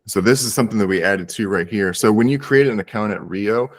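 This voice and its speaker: A man from the platform's team talks over a video call in a relaxed, conversational way. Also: fairly low pitch, brisk. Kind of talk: casual work chat on video call.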